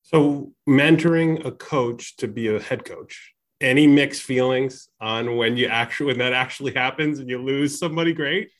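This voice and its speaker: A man's voice: medium pitch, moderate pace. Male interviewer, mid-range voice, rambling questions. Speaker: interviewer, host.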